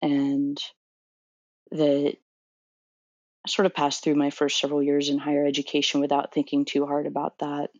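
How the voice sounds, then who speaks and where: A woman's voice: low voice, completely flat delivery, normal speed, pauses often, a little mellow, dry recording, highs cut off. A woman in her thirties from Florida, a doctoral student answering interview questions thoughtfully, with many fillers and restarts. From Florida, USA.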